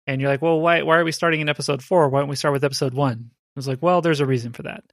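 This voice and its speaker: A man's voice: fairly high pitch, fairly even intonation, racing, no echo, clean studio sound. A man co-hosting a podcast in casual chat, explaining at length and checking in with "right?". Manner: chatty, explaining with rhetorical "right?". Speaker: podcast co-host.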